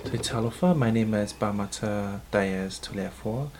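Low-voiced New man, thoughtful. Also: normal speed, loud music behind the voice. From New Zealand. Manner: thoughtful, hesitant, frequent um fillers. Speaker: cultural leader. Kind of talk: interview answers.

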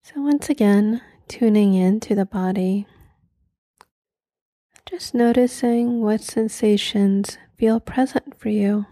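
A woman leads a guided meditation in a mellow, relaxed voice. She speaks very slowly and gently, leaving long pauses, and is soft and at times breathy. The sound is dry and close. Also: medium pitch, dry recording.

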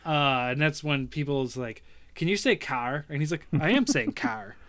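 Excitable young male podcast co-host from Ohio, forceful tone, lots of laughing asides. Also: on the high side, normal speed. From Ohio, USA. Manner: excitable, laughs often, says "like" a lot. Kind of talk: casual podcast chat.

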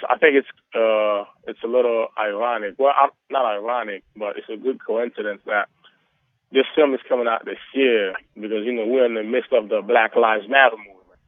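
A man's voice: medium pitch, no echo. A middle-aged man from Haiti, a documentary filmmaker, guesting on a radio show by phone and talking in long rambling runs full of "you know" and "right". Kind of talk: radio interview over the phone. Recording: tinny phone line.

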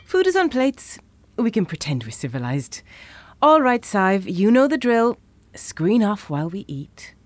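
A woman's voice: mid-range voice, big pitch swings, a little slow, a little hiss or hum. An actress playing a teasing, affectionate girlfriend in an audio drama. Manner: teasing, warm. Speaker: chocolatier girlfriend. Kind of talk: radio drama acting.

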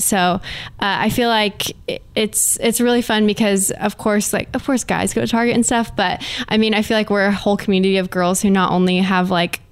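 A woman's voice: mid-range voice, clean tone. A young woman talking casually and chattily as a guest on a lifestyle podcast. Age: young adult. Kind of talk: casual interview chat.

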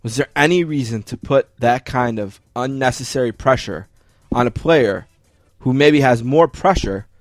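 A man with a North American accent co-hosts a casual sports show. His voice sits at an average pitch in a very dry recording. He is opinionated, poses pointed questions and swears freely. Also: moderate pace, no room sound, soft background music. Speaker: sports podcast co-host.

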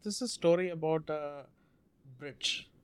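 A man from India, a Malayalam speaker, telling a story as an interview guest in an earnest, measured way. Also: fairly high pitch, clear, resonant tone, dry recording. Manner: measured, earnest, drawn-out phrasing with restarts.

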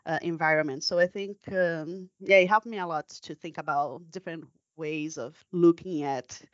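A woman's voice: low-pitched, moderate pace, dry recording. Female podcast guest, Brazilian accent, hesitant conversational delivery, dull close-dry lo-fi recording. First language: Brazilian Portuguese. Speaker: guest scientist.

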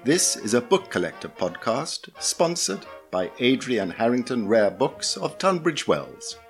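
A man's voice: mid-range voice, very animated, measured pace, faint music behind. A man reading a scripted podcast introduction as the announcer.

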